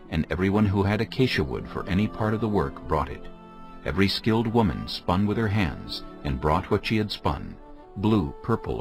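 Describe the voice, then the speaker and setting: A man with a very deep voice narrates scripture from a script. His intonation moves widely as he tells the story, and music plays clearly underneath him. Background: music bed.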